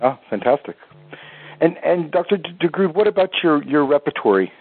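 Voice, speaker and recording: A man's voice: a little high, sing-song, normal speed, slightly rough, dry recording, soft background music. A man hosting an interview, asking eager questions with some stammering. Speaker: interview host. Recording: dry tinny phone-like line.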